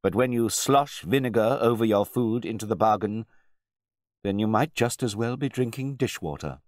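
A grave butler played by a male storyteller speaks with formal, measured courtesy. Beneath the deference sits quiet disdain and polite condescension, in a dry, close storybook reading. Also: fairly low pitch, dry recording, voice pushed up, close to an everyday voice. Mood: disdainful.

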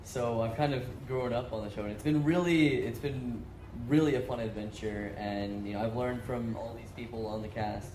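A man's voice: medium pitch, steady tone, moderate pace, few pauses. A man on a convention panel, answering in an earnest, easygoing way. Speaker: actor on a panel. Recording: distant mic in echoey tent, noise.

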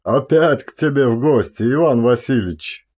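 A man's voice: mid-range voice, normal speed, a little mellow, breathy edge, close to an everyday voice. A man voicing a jaunty, overfamiliar town coachman in an audiobook story. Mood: jaunty greeting. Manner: jaunty, familiar.

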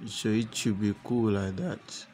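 A man narrating a step-by-step software tutorial unscripted, with halting, repetitive instructional phrasing. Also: lowish voice, steady tone, slight background noise. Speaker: tutorial presenter.

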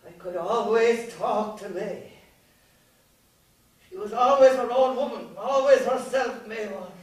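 An old Irish war veteran, eloquent and haunted. His voice rides very high and he declaims slowly. It rings from a distance across a very echoey theatre stage. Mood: wistful. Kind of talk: live stage play acting.

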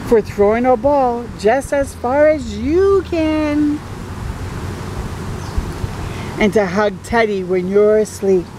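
A woman voicing a patient, playful daddy monster, gently explaining things to his young son in storybook rhyme. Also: a little high, lively intonation, unhurried, background noise. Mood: patient, gentle.

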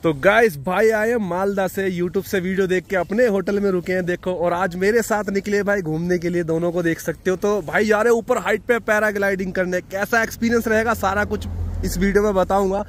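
A man's voice: high voice, steady tone, brisk. A man guiding viewers on a casual mountain travel vlog, chatty and calling them brother, recorded outdoors. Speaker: travel vlogger and tour guide. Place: outdoors in mountain valley and on road. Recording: faint outdoor noise.

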